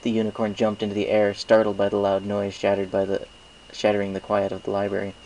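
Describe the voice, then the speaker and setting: A man's voice: on the low side, completely flat delivery, fast, darkish tone, dull audio. A man reading first-person fiction as an amateur audiobook narrator, now and then stumbling over phrases.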